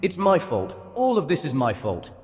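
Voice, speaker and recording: A man's voice: on the high side, huge pitch swings, normal speed, faint music behind. A man playing an anguished, impulsive, lovesick young nobleman in an audio drama. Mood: self-reproaching.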